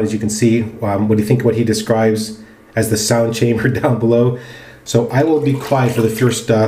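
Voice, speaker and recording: A man gives an unhurried, casual, musing product review as he goes about a demonstration. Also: lowish voice, darkish tone. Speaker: presenter of a wet shaving video. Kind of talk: casual product review chat while shaving. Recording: roomy, echoing indoor sound.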